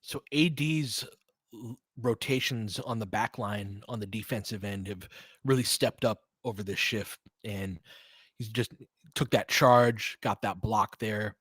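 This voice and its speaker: A man's voice: lowish voice, a little slow, fairly bright tone, husky, no echo. A man doing casual, conversational live basketball commentary as a play-by-play host, breaking down the action like an analytical fan and chatting with listeners. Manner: conversational, analytical fan talk with mild slang.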